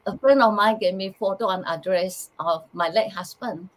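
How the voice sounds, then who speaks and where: Guest: woman with a Malaysian accent, soft-spoken, simple phrasing, mid pitch. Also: normal speed. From Sarawak, Borneo, Malaysia. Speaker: guest, channeler. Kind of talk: casual interview.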